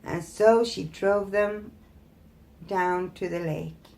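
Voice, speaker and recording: Female fairytale narrator, slow, expressive, deliberate, mid-range pitch. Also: gravelly. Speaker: storyteller, host.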